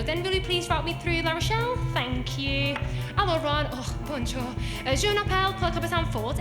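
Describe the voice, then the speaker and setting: An actress playing a brisk, efficient young female army telephone operator who slips easily into French. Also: very high-pitched, big-room sound. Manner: crisp, efficient, switches into French. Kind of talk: stage musical acting.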